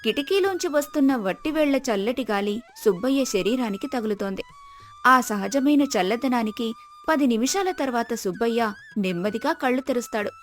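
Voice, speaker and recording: A woman narrator reading a scripted moral story. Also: on the high side. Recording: music behind the voice. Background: music.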